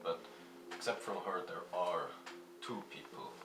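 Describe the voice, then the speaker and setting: A shy man answering fan questions in a halting, casual way, with many fillers and restarts. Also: deep voice. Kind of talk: hesitant casual talk to camera. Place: at home. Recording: echoey room, mic at a distance, music playing. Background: music.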